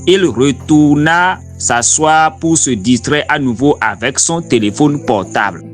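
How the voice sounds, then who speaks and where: Higher-pitched man narrating over music. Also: measured pace. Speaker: narrator and preacher. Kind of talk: narrated parable with voiced-over translation. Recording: music behind the voice.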